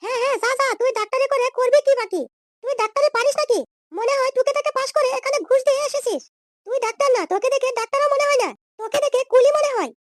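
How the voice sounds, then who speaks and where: Casting: defiant, mocking young cartoon wife, extremely high squeaky voice, rattling along. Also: female voice, racing, no room sound, studio quality. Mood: mocking, threatening. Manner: scolding, mocking, bossy. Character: brash, defiant young wife who schemes and threatens. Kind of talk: comedy cartoon dub.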